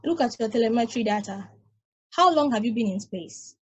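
A woman voicing an ancient, weary space probe, reading calmly and explaining at length in a table read over a video call. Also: a little high, moderate pace, slightly rough, no echo. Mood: lecturing. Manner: calm, reasoning, explaining at length.

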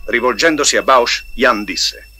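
A man's voice: mid-range voice, measured pace, pushed and bright, a little hiss or hum. A man reading scripted narration for an audio storybook. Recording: 1980s storybook record with music. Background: music.

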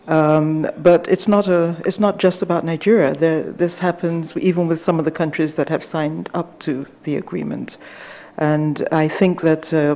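A soft-voiced middle-aged woman from Ghana speaking at a conference panel in a measured, hesitant way, with many fillers, into a table microphone in a large hall. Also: deep voice. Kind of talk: panel discussion remarks.

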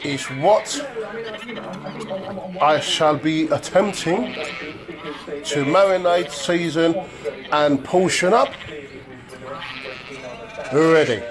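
Higher-voiced man, unhurried, showy home cook. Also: crowd noise. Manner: jokey showman, calls himself the chef. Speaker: home cook presenting his food. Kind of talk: casual cooking video narration. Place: home kitchen. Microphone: handheld phone behind the camera.

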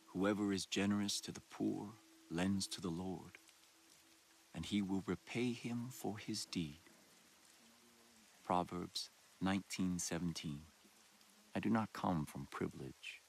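Game villain: solemn male cult prophet, very deep voice, measured sermon delivery, faint hissy ambience. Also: gravelly, exaggerated voice. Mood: solemn preaching. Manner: slow, deliberate, grave, rhetorical. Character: solemn, messianic male doomsday cult leader. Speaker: video game villain, preacher. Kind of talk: dramatic sermon and broadcast monologue.

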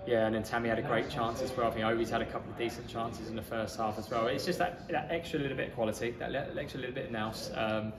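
A man, a football manager giving a measured, matter-of-fact post-match interview. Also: mid-range voice, fairly even intonation, music in the background. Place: outdoors in a small football ground stand. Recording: echoey stand with crowd noise.

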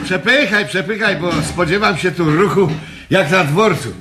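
A man's voice: high-pitched, moderate pace, reverberant, over loud music, close to an everyday voice. An actor in a Polish radio comedy playing a harried, chatty male shop owner, eager, wheedling and fussy. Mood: harried, chummy.

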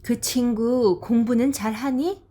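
A woman playing a brisk, pushy mother. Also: on the high side, steady tone, mellow. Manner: brisk, nagging, scolding. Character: busy, pushy working mother in her forties. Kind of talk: audio drama acting.

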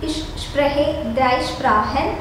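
A high-voiced teenage woman with a Malayalam accent speaks slowly and carefully, as if reciting lines she has practiced. The room is bare and strongly echoing, with a clear hum of background noise. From Kerala, India. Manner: slow, careful, rehearsed phrasing. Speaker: student. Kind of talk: reciting memorized self-introduction. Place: echoey classroom. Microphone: camera across the desk.

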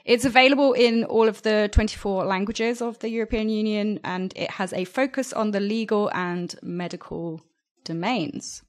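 A woman's voice: medium pitch, steady tone, normal speed. A young woman co-hosting a podcast, chatting casually and upbeat through a news item, on a studio microphone. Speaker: podcast co-host. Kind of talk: casual news roundup chat. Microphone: studio microphone with headphones.

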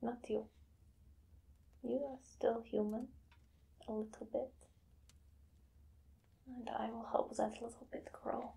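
A woman in her thirties whispering as a zealous female vampire hunter in an ASMR roleplay, slow and deliberate. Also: fairly high pitch, flat delivery, creaky, mellow, heavily acted. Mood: menacing soothing. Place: small dim room indoors.

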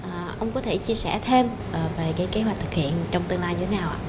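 A woman's voice: on the low side, fast, background noise. A young woman hosting a formal interview, asking polite, deferential questions. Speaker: interviewer host. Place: studio office set. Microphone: handheld mic.

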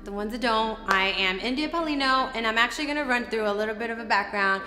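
American woman talking candidly to camera about herself, bright crisp-edged voice, roomy reverb, bit of music behind. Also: a little high, fast talker. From Dominican Republic, raised in northeastern United States. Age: 30s. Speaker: professional bikini fitness competitor. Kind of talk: personal storytelling to camera. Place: large open indoor living area with kitchen. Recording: reverberant room, music underneath. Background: background music.

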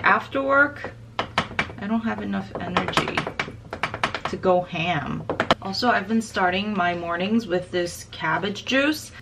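A woman's voice: medium pitch, heavy background noise. A young woman talking casually to camera in her home, upbeat and chatty, often saying "like.". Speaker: lifestyle vlogger. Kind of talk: casual vlog narration. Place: bright home room and kitchen, indoors.

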